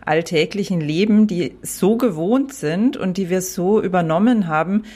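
A woman's voice: fairly low pitch, moderate pace, warm tone, breathy edge. A woman hosting a podcast interview, giving frequent affirming murmurs and phrasing her questions with searching fillers. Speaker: podcast host.

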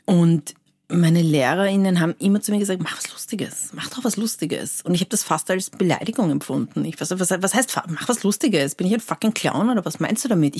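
Low-voiced Persian-born woman, dry sound. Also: a little fast, slightly rough, no echo. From Iran. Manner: animated, lively storytelling with many fillers. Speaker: cabaret artist guest. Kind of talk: casual interview chat.

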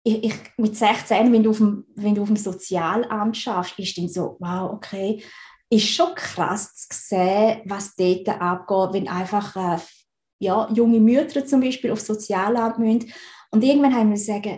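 A woman's voice: mid-range voice, fairly even intonation, moderate pace, darkish tone. Chatty Swiss woman guest, roomy echo. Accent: Swiss German. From Switzerland. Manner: chatty, laughs easily, frequent restarts. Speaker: guest, recruiting consultant. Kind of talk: casual interview talk.

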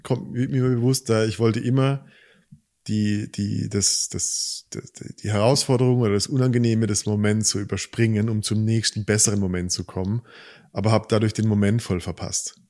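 A man co-hosting a casual podcast conversation, reflective and thinking out loud as he talks. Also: fairly low pitch, steady tone, moderate pace. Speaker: podcast co-host. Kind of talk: casual conversation about relationships.

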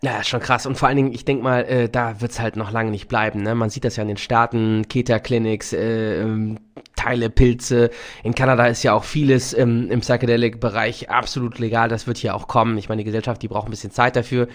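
A young male lawyer speaks as a podcast guest. He talks eagerly and casually, with lots of fillers. His pitch is middle. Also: level tone, a little fast. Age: young adult. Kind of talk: casual interview talk.

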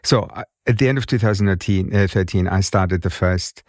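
A man in his fifties speaks with a very low voice and an accent caught between British and German. He tells his story in a measured way, often restarting and repeating words. The sound is dry and close, with no room around it. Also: dry recording. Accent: mixed British and German. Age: 50s. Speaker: guest. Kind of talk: conversational interview.

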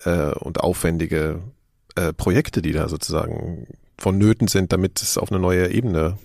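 A man's voice: sits low, steady tone, normal speed, vocal fry, darkish tone, no room sound, clean studio sound. A man in a casual podcast discussion, talking colloquially as an engaged panelist who asks questions.

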